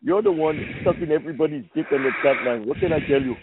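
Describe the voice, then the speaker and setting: A man's voice: fairly high pitch, fast, phone-quality sound, noisy background. A man on a played clip, hurling crude, taunting insults.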